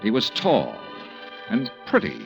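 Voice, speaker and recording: A hard-boiled male insurance investigator from vintage radio crime drama. His voice sits fairly low yet moves widely in pitch. He is observant, dry and matter-of-fact, with faint music behind. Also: wildly swooping pitch, slow, vocal fry, full character voice. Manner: clipped, wry, matter-of-fact. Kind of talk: 1940s-50s radio crime drama narration and dialogue.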